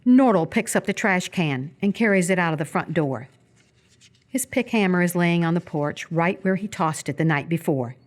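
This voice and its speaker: A woman narrating a live radio theatre script, reading stage directions in a measured, descriptive way. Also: fairly low pitch, animated.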